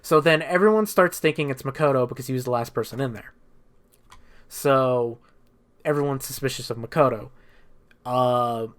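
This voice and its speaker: A man's voice: medium pitch, fairly bright tone. A man giving a casual, unscripted video game recap in a rambling, slangy way with plenty of swearing. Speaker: video creator.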